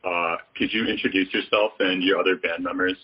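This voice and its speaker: A man with a very low, husky voice asks polite, formal interview questions. The sound is dull and lo-fi. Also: steady tone, a little fast. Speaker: interviewer co-host.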